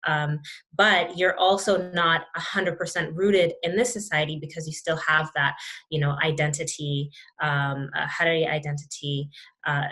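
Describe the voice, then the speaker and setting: A young woman, speaking as an interview guest in a thoughtful, reflective way with many pauses and restarts. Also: low voice, steady tone, sharp tone, poor audio. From Canada. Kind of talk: reflective conversation.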